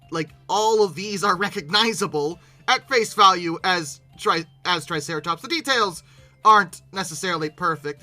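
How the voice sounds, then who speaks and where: A YouTube host giving casual, animated reaction commentary with exasperated asides. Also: mid-range voice.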